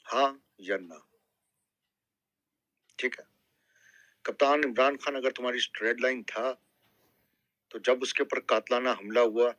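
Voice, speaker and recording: A man with a Pakistani accent in a live political discussion, speaking emphatically and deliberately, often checking that listeners agree. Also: medium pitch, a bit bright, no echo. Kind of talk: impassioned political talk in a live group audio discussion.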